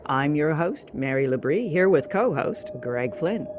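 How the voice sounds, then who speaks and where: A woman's voice: low voice, expressive, measured pace, noisy background. A woman hosting a show with a scripted introduction. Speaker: show host.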